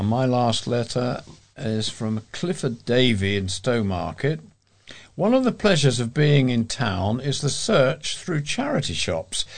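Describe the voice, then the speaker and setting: British man reading aloud, mid-pitched voice. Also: gravelly. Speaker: volunteer newspaper reader. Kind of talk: reading letters aloud for a talking newspaper.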